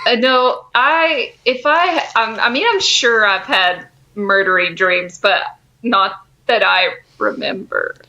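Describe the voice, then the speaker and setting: A woman co-hosting a podcast, chatting casually about a TV show with halting, hesitant phrasing. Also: mid-range voice, sharp tone, muffled recording. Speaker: podcast co-host.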